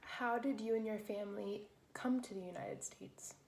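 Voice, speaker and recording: A woman's voice: mid-range voice, fairly even intonation, normal speed, darkish tone, echoey room, slight background noise. A woman interviewing her grandfather, reading her questions plainly. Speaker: student interviewer.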